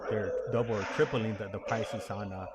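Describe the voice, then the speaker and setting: A man's voice: fairly low pitch, steady tone, normal speed. A man hosting a casual interview, stammering and repeating words as he works his way into each question. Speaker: host of a live call-in stream. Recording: very noisy audio with clicks.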